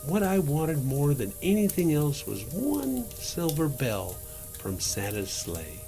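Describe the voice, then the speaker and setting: A man reading a children's story aloud in a theatrical, drawn-out way, as a Santa performer. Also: a little high, wildly swooping pitch. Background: music.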